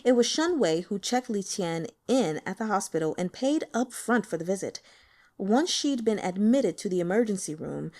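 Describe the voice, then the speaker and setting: A woman reading a novel aloud as an audiobook narrator, with expressive, dramatic storytelling. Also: mid-range voice. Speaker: audiobook reader.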